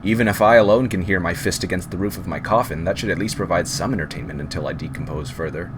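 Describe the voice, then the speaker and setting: A man reading a first-person scary story aloud in a measured, wry, unhurried way. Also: low voice, very noisy. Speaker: horror story reader. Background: background music.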